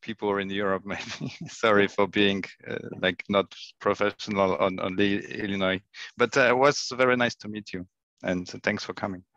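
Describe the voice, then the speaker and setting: A man's voice: fairly low pitch, fairly even intonation, moderate pace, a bit bright, no echo. Hesitant man on a dull video call. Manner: hesitant, repeats words, light laughs. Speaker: scout and pre-sales for medtech companies. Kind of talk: casual online meeting chat.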